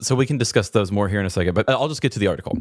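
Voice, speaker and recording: Guy podcast cohost w a lowish voice, rambly casual storytelling, real dry room sound. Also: very fast, no room sound. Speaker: podcast co-host. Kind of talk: casual comedic chat and reading an article aloud.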